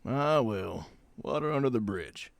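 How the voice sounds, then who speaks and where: A man playing a calm, matter-of-fact medic in an audio drama, with measured, formal phrasing. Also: medium pitch, vocal fry, dry recording.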